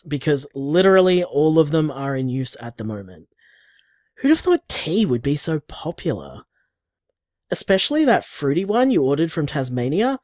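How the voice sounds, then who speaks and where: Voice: medium pitch, darkish tone, no room sound, studio quality, close to an everyday voice. An actor playing an affectionate bar co-owner who leaves upbeat voice messages for a partner. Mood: cheerful. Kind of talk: audio drama voice message.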